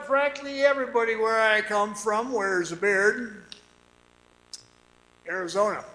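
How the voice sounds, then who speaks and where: Man playing high-voiced, drawling-slow folksy old-timer. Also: sharp tone, heavily acted. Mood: reminiscing. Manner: drawn-out, folksy, teasing. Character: bearded, prematurely grey Arizona copper-town man, folksy storyteller. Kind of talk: old-time radio drama monologue. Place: performing arts center stage. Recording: roomy live stage recording.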